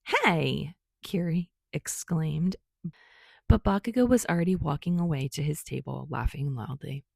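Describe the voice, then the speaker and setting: A woman's voice: sits low, normal speed, vocal fry, mellow, husky, no echo, clean studio sound. A woman reading a story aloud as a scripted narrator. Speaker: fan fiction narrator.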